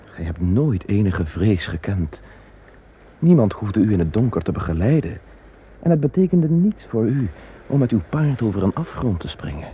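A man's voice: fairly low pitch, big pitch swings, moderate pace. A man playing a commanding yet tender king who soothes his frightened beloved, in an old radio drama. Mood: reassuring. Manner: soothing, solemn, archaic speech. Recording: muffled old radio recording, faint hiss.

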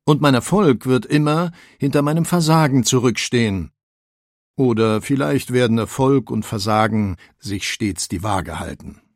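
An actor playing an earnest, self-doubting artist who reflects in a measured way, in an audio biography. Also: male voice, medium pitch, expressive, no room sound, clean studio sound, natural voice. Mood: reflective, resigned. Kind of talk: dramatised first-person quotation in an audio biography.

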